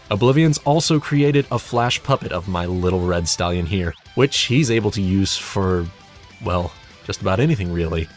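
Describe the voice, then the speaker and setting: A young man giving a casual but scripted channel update in a wry, self-deprecating, sweary way. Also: on the low side. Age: young adult. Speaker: hobby narrator and audio play director giving a channel update.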